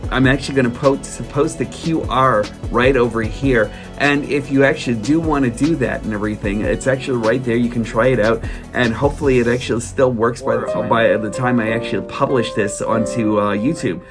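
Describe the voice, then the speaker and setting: A man talking casually to his viewers in a vlog, hoarse from a cold, rambling with lots of fillers and restarts. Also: medium pitch, brisk, music in the background. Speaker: toy collector vlogger. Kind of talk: casual solo vlog chat.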